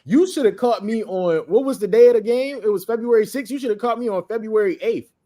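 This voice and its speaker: A man's voice: high voice, fast talker, mellow. A man co-hosting a casual sports podcast, joking and insistent, repeating himself for effect. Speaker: podcast co-host.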